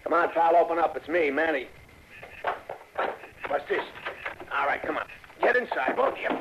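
A man's voice: fairly high pitch, big pitch swings, normal speed. An actor playing a cocky, menacing gangster with tough-guy slang and a taunting manner in a 1960s radio drama. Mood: smug, bossy. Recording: dull, noisy old radio recording.

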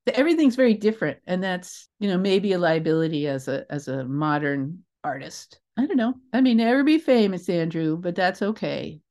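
A woman's voice: fairly low pitch, lively intonation, brisk, hardly any pauses, darkish tone. A woman speaking as an interview guest in a casual, rambling and enthusiastic way about creative work.

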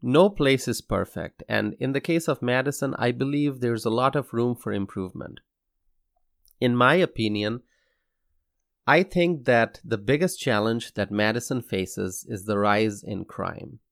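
Gravelly, earnest podcast host from Bangladesh. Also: male voice, mid-range voice, no echo, studio quality. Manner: measured, earnest, slow deliberate delivery. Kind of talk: scripted podcast monologue.

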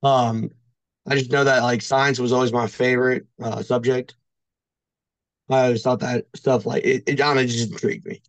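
A man's voice: medium pitch, flat delivery, normal speed, no echo. Man, casual storyteller, rambling, hesitant, dry lo-fi podcast sound. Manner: rambling, heavy use of "like", hesitant. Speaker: podcast guest. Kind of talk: casual chat, personal storytelling.

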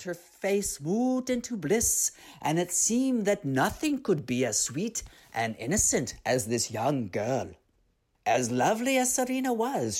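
A middle-aged performer with a low feminine-sounding voice reading a children's tale expressively, with theatrical, drawn-out emphasis. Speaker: story reader, comedian.

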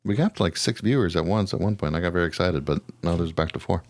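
Low-voiced man, sweary casual podcaster. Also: quick pace, no room sound. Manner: profane, self-deprecating, rambling. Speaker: podcast co-host. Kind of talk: casual comedic podcast chat and ranting.

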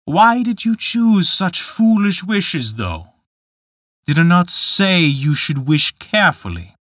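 A little magical glass spirit gives wise, reproachful counsel in a raised, lilting voice, as a man reading a fairy tale puts him on. The tone is bright and the recording dry. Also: high-pitched, sing-song, slow, no echo, voice pushed up, exaggerated voice.

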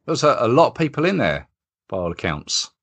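Lively-voiced podcast host. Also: male voice, medium pitch, brisk. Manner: friendly, conversational questioning. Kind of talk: podcast interview.

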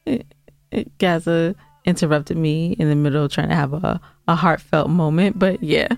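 A woman's voice: low-pitched, fairly even intonation, moderate pace, gravelly, no room sound, faint music behind. A woman co-hosting a podcast in casual, playful, teasing chat. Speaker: podcast co-host.

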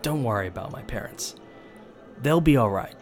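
A man's voice: mid-range voice, lively intonation, normal speed, faint music behind, close to an everyday voice. A man playing a supportive but wounded middle-aged husband and father in an audio drama.

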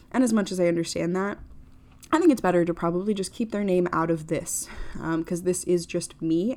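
Young woman around twenty, casual podcast monologue, upbeat and self-deprecating, a touch lower in pitch. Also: a little mellow. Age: 20s. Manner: chatty, upbeat, self-deprecating with many fillers. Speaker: podcast host.

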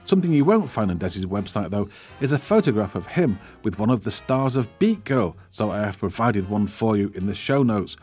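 A man's voice: lowish voice, expressive, fairly quick, darkish tone, faint music behind. A male podcast host chatting wryly about a film, then reading the show's closing credits. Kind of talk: casual film chat and scripted outro.

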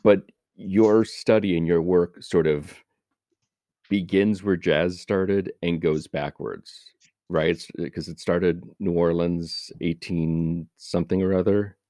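Low, mellow male interviewer. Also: steady tone, takes it slowly, no room sound, clean studio sound. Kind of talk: casual conversational questioning.

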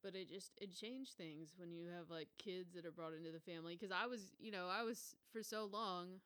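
A woman's voice: lowish voice, moderate pace, a bit bright, clean tone. Woman, mid-twenties, solo podcast host; loose, profane, rambling delivery. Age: 20s. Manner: casual, profane, rambling with fillers. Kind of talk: casual solo podcast rant.

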